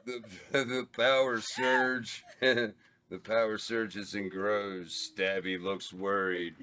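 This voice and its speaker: A man reading stage directions aloud at a table read, slow and a little halting. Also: on the low side, smooth. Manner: slow, flat, stumbles over words. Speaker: script reader of stage directions.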